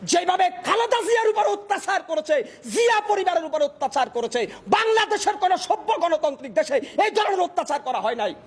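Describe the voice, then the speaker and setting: A Bangladeshi man delivering a fiery political speech in an impassioned, declamatory manner, often shouting and using repetitive rhetorical phrasing. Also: very high-pitched. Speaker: political speaker, self-described hawker.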